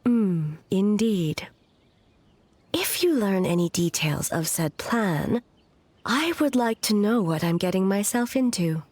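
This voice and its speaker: A woman voicing a dignified, solemn noblewoman in a video game, speaking in a formal, measured and composed way. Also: medium pitch, animated, a little mellow. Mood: calm assurance. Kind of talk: video game character acting. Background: background music.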